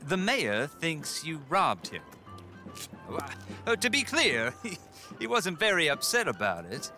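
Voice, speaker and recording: A man's voice: on the high side, huge pitch swings, unhurried, pushed and bright, soft background music, full character voice. An actor playing a polite, nervous male activist who hesitates and stumbles over his words. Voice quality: hesitant, genteel.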